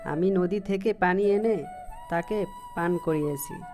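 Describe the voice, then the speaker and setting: A woman voices a kindly small male songbird in a cartoon tale. The pitch is somewhat low for a female voice and the manner is earnest and caring. Music plays clearly underneath. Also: unhurried, natural voice. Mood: explaining, caring. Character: kind, helpful little male songbird, devoted husband. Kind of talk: cartoon story acting. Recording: music behind the voice.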